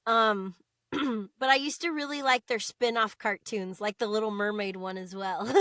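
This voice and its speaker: An American woman chatting casually as an interview guest, expressive and chatty. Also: on the high side, a little slow, dry recording, clean studio sound. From United States.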